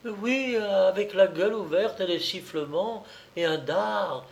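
A man's voice: high-pitched, slow, gravelly, voice pushed up, heavily acted. A guy voicing a cornered cheeky traveller, pleading in a raised voice. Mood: defensive. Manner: pleading, excitable protests. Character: cheeky cornered male traveller, brazen liar.

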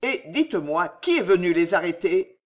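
A woman's voice: on the low side, very animated, normal speed. A woman voicing a courteous, resolute young male chevalier in an audiobook story, formal and probing. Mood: earnest, probing. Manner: formal, polite, questioning. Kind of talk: storybook character acting.

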